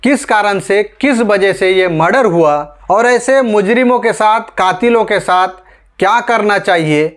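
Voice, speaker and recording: A man's voice: high-pitched, measured pace, a bit bright. A man from India presenting online news commentary in an emphatic, rhetorical way, addressing his audience directly. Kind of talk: scripted news commentary to camera.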